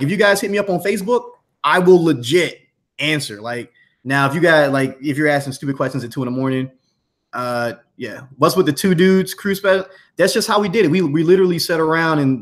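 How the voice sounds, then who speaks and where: A man's voice: a little high, a bit bright. A man chatting casually and earnestly as a guest promoting his course, full of slang and repetition.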